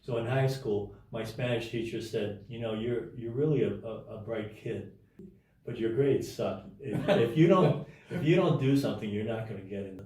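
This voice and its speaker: A man telling his life story as an interview guest in a casual, halting way, with many restarts and fillers. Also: mid-range voice, darkish tone, heavy reverb, far from the mic.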